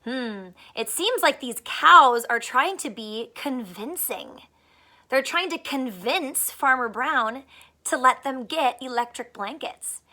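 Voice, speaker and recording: Woman teacher for children's read-aloud: high, bright voice, expressive, pauses to explain and ask simple questions.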